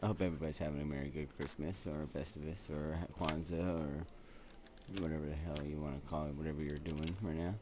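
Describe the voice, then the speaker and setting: A man hosting a casual story-time vlog, rambling in a laid-back, halting way and often checking in with the listener. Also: extremely low pitch, little pitch movement. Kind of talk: rambling casual chat with read-out quotes. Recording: clearly noisy recording with ambient sound.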